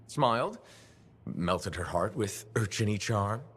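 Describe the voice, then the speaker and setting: An actor playing a confident, easygoing freelancer hero in a video game, with a dry, teasing manner. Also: male voice, lowish voice, expressive, takes it slowly, a bit bright, breathy edge, heavily acted. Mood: curious, friendly. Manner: dry, wry. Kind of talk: game dialogue acting.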